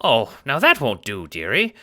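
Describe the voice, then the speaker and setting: A man's voice: mid-range voice, wildly swooping pitch, normal speed, sharp tone, pitched above the performer's own voice, exaggerated voice. A man voicing a kindly, playful elderly grandmother spirit with sweet, folksy, theatrical delivery in an audio drama. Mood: kindly, reassuring. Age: older. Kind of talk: audio drama character acting.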